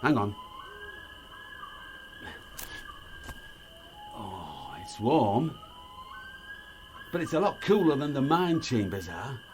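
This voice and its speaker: An actor playing a blunt, grumbling middle-aged British ex-miner, gruff and wary, in an audio drama. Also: male voice, mid-range voice, big pitch swings, unhurried, background noise. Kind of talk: naturalistic audio drama acting.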